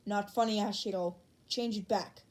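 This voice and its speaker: A woman's voice: medium pitch, fairly even intonation, moderate pace, close to an everyday voice. A woman voicing an earnest, stern teenage boy class president in a fan fiction audiobook, formal and indignant.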